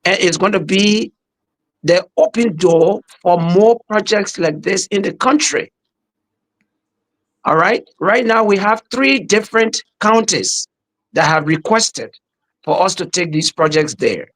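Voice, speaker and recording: A man's voice: sits high, animated, measured pace, fairly bright tone. A middle-aged man with a Liberian accent, a minister speaking earnestly in a casual podcast discussion. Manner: earnest, patriotic, repeats words as he talks.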